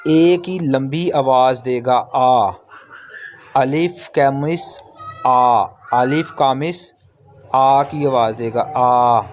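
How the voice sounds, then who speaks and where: A man's voice: medium pitch, a little hiss or hum. A man giving an instructional language lesson, explaining step by step, repeating himself and sounding out vowels at length. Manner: repetitive, step-by-step explaining with drawn-out sample sounds. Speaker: language teacher.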